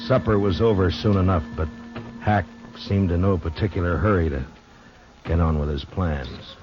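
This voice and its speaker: An actor playing a hard-bitten frontier marshal with an American accent, narrating and speaking tersely and grimly in an old-time radio Western. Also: male voice, low voice, normal speed, lightly acted. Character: steady, hard-bitten frontier marshal telling his story. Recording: dull old radio recording with music.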